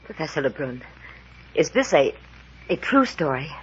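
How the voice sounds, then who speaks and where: Defiant young heroine in old radio serial, lively and emphatic, muffled sound. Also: female voice, low voice, moderate pace, slightly rough, exaggerated voice. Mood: uneasy. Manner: emphatic, dramatic. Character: feisty, defiant young woman. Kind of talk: 1940s radio drama acting. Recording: dull, noisy vintage radio recording.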